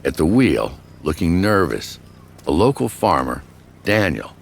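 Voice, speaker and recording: A man's voice: deep voice, very animated, a little slow, creaky, slight background noise. A man narrating a true-crime story in a dramatic, suspenseful scripted documentary style. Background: background music.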